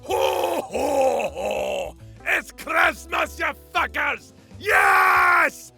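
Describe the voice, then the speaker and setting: A man's voice: very high voice, huge pitch swings, very slow, vocal fry, pushed and bright, raised voice, faint music behind, voice pushed up, heavily acted. A man playing a manic, foul-mouthed Christmas greeter for an online video. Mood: manic, festive.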